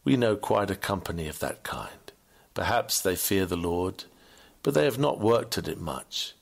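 Low-pitched man reading a sermon. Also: animated, normal speed, vocal fry. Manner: earnest, formal, oratorical. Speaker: reader of a classic sermon. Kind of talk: scripted sermon reading, preacher-like delivery.

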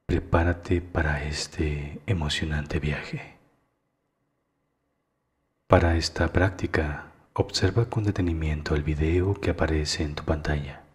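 Very deep, slow-speaking man guiding meditation. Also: fairly even intonation. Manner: hushed, deliberate, with long pauses. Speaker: guided meditation narrator. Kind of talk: slow soothing guided meditation.

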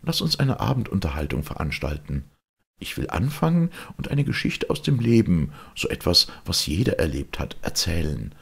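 A storybook cooking pot, played by a male reader, sentimental and talkative. The voice is low and gravelly, earnestly spinning memories. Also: sing-song, normal speed, heavily acted. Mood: eager.

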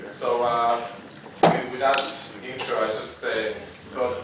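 A man hosting an informal live stage introduction at a movie theater, speaking in a halting, hesitant way. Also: medium pitch, flat delivery. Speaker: festival programmer and Q&A host. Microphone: handheld PA microphone, picked up from audience. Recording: echoey, noisy theater, far from camera mic.

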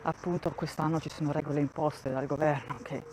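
A woman's voice: low-pitched, level tone, fairly quick, noisy background. A woman giving a casual walking tour of a campground, thinking aloud and often restarting her sentences, recorded on a handheld phone outdoors. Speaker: vlogger touring a campsite.

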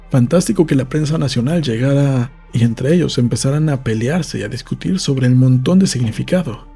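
Male audiobook narrator, measured delivery, warm and slightly husky, light music underneath. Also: medium pitch. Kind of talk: scripted literary narration, novel read aloud.

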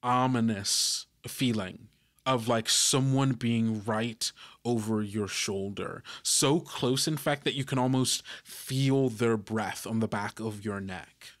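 A man's voice: medium pitch, slow, fairly bright tone. Man narrating as game master. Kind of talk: improvised narration of a tabletop game.

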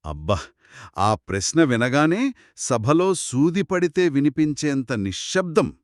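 A man co-narrating a children's moral story in an expressive, conversational way. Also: fairly high pitch, a little slow, no echo, studio quality. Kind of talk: conversational two-person storytelling.

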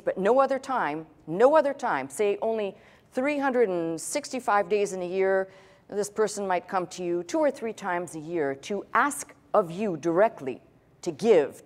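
A woman's voice: medium pitch, a little mellow. Blunt woman preacher. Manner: blunt, emphatic, conversational, unapologetic. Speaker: pastor. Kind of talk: sermon, teaching a congregation. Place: church stage in a large indoor hall.

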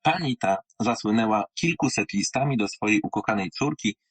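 Husky low-voiced man, theatrical literary reader. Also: lively intonation, a bit bright. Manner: theatrical, wry, with deliberate pauses and exclamations. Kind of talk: literary audiobook reading and theatrical introduction.

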